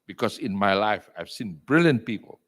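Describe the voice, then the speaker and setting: An older man with a Malaysian accent talking as an interview guest, loud and emphatic, dropping in Malay words and leaping to high exclamations. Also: expressive, normal speed. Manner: mixes in Malay words and phrases. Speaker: entrepreneur and executive chairman, interview guest. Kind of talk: interview over video call.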